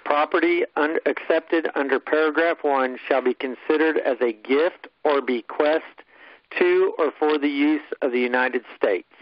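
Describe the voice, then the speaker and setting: A man with a somewhat high voice speaks on a group call, reading out or briefly instructing. The line sounds dull and very dry. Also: measured pace, no echo. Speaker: conference call participant. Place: group phone conference call.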